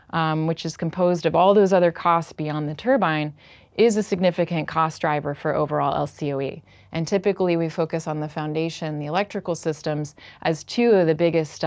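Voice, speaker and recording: A middle-aged woman lecturing on engineering in a measured way, with many "uh" and "um" fillers, standing at a projection screen. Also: deep voice, no room sound. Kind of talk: technical lecture. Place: dark studio in front of a projection screen.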